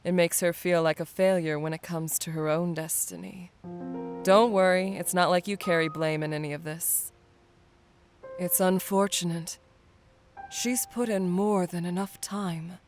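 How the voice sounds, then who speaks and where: A woman playing a wise, composed, protective warrior leader, measured and knowing, in a fantasy game dub. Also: fairly low pitch, fairly even intonation, natural voice. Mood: solemn, caring. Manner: measured, knowing, motherly authority. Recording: faint music behind.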